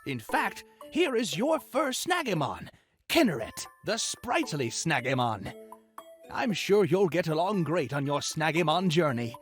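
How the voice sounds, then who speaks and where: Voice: medium pitch, very animated, unhurried. An actor playing a cheerful, theatrical professor in a visual novel game, speaking in an effusive, dramatic, expository way. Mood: bright, welcoming. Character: cheerful, theatrical creature-research professor guiding a trainer. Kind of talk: scripted visual novel character acting. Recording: background music under the voice. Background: game music.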